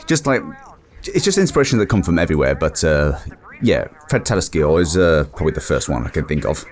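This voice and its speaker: A man's voice: extremely low pitch, sing-song, a little fast. A man chatting casually as a voice actor guest, with a modest, earnest, informal way of talking. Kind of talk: casual interview chat.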